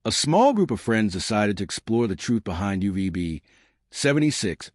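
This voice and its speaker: A man narrating a scripted horror story in a measured, dramatic way. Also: fairly low pitch, big pitch swings, no echo, clean studio sound.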